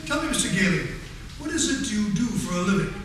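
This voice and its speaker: Guy doing a sweet old santa, high swoopy voice, echoey noisy stage recording from far off. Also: very animated, fast. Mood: warm, earnest. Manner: gentle, chuckling, hesitant with repeated small exclamations. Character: kindly jolly old man who is Santa Claus. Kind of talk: 1940s radio play acting on stage. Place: community theatre performance. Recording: echoey theatre stage, distant mic, noisy.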